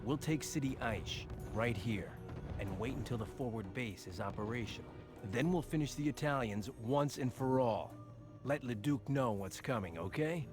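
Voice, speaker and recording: An actor playing a confident, decisive American colonel in a war video game, speaking bluntly. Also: male voice, medium pitch, lively intonation, normal speed, close to an everyday voice. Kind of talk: video game cutscene dialogue acting. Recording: music behind the dialogue.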